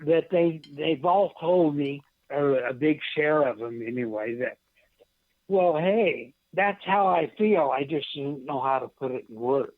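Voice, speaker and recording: An American man in his seventies speaking as a radio guest in a halting, plainspoken way, on a dull, muffled line. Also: a little high, no room sound. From United States. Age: 70s. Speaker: guest, poet and veteran. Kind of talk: interview reminiscence.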